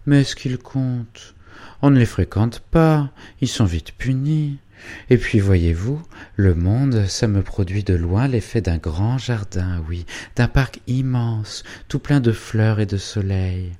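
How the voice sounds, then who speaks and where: A man's voice: fairly low pitch, lively intonation, slow, warm tone, pitched above the performer's own voice. A man reading a storybook voicing a naive young woman who dreams aloud, airy and rapturous. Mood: dreamy.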